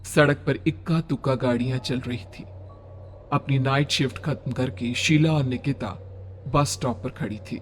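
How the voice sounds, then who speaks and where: A man's voice: mid-range voice, normal speed, slight background noise. A man narrating a scripted horror story, with music playing underneath.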